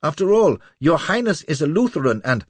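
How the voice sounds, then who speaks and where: A man voicing a timid little male church official who pleads in a high, squealing, frantic voice. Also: very animated, a little fast, no room sound. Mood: pleading. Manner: frantic and whimpering.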